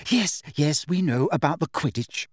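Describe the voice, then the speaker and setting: Male reader as bossy teenage schoolgirl, raised pitch, brisk, insistent, tart, audiobook character. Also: lively intonation, no echo, clean studio sound. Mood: tense, brisk.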